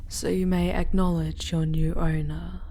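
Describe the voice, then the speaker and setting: A woman playing a seductive, sadistic dark elf noblewoman in an intimate ASMR roleplay, breathy and often whispering, haughty and commanding. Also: on the low side, little pitch movement. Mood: possessive, commanding. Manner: slow, sultry, haughty and commanding. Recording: clearly audible background noise.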